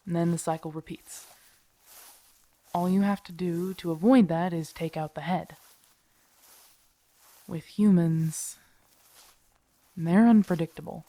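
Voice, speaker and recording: A woman's voice: lowish voice, fairly even intonation, unhurried, pauses often, warm tone, no room sound. A woman acting in an audio roleplay as a grumpy, protective zombie apocalypse survivor, blunt and sardonic, talking intimately to her companion. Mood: emphatic, cynical.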